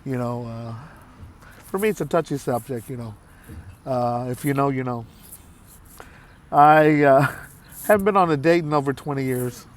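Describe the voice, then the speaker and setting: A man in his late fifties talking candidly to his viewers in a halting, heartfelt way, with many pauses and repeated phrases. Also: mid-range voice. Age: 50s. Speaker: vlogger. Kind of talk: candid talk to camera.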